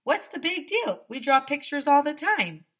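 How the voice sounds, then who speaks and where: A woman's voice: high-pitched, big pitch swings, moderate pace, a bit bright, voice pushed up. A woman voicing a cocky, silly young schoolboy who tells his own story in a blunt, teasing way. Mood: puzzled.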